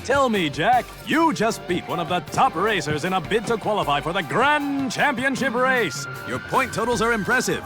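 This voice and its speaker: A man's voice: high voice, sing-song, normal speed, few pauses, music in the background. A man playing a flashy, slick TV racing announcer in a video game, with a showy, theatrical delivery. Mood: gushing. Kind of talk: video game cutscene acting.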